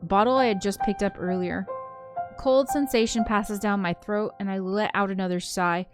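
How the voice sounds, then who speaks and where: Woman, game streamer, casual read-aloud, mid-pitched voice, informal giggly manner, music playing clearly behind. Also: steady tone, moderate pace. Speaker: game streamer reading a visual novel aloud. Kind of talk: casual reading aloud with chatty co-host banter. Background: game soundtrack music.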